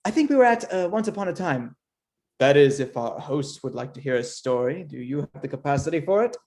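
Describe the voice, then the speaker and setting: A woman's voice: deep voice, lively intonation, brisk, darkish tone. A woman playing a haughty, teasing literary wit in a dramatic staged reading, mocking and arch.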